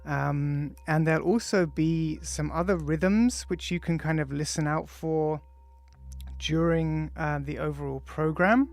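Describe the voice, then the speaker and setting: Author-narrator, male, casual and halting with lots of ums, rough gravelly tone. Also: fairly high pitch, steady tone, dry recording. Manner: casual, hesitant, many ums between phrases. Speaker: author reading his audiobook. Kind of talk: audiobook introduction, loose spoken then read text. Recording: music playing faintly behind.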